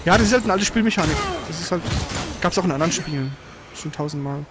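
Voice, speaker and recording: A man's voice: a little high, expressive, quick pace. A man giving casual, colloquial gaming commentary as he plays, exclaiming at the game. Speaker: gamer, let's play host.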